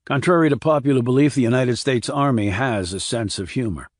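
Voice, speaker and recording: A man's voice: mid-range voice, brisk, dry recording, studio quality, lightly acted. A man playing a terse, wry, formal Army investigator with clipped military delivery in an audiobook. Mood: dry, wry. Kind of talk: audiobook character acting.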